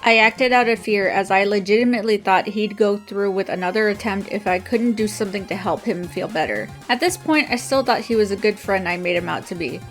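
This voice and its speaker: A woman's voice: medium pitch, a little fast, hardly any pauses, soft background music. A woman reading a written apology statement aloud.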